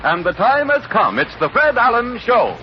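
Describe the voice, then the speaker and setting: A man's voice: very high voice, sing-song, normal speed. An American man announcing and bantering on a 1930s radio variety show, with a hearty, theatrical delivery. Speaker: radio announcer and comic sidekick. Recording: scratchy, muffled 1930s radio recording.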